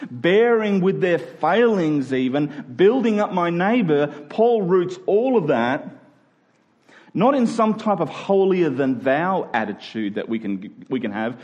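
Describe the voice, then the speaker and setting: Man, preacher, slightly high pitch, emphatic sermon delivery, conversational, informal, drawn-out key words. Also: a little mellow. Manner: conversational, informal asides to listeners. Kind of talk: sermon to a congregation.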